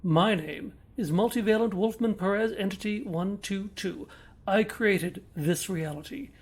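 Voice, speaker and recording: A man plays an otherworldly creator of realities with a husky, breathy voice. He lectures in measured, grandiose sentences, detached and pompous, as comic audio drama. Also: high voice. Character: pompous reality-creating frog entity, coldly verbose.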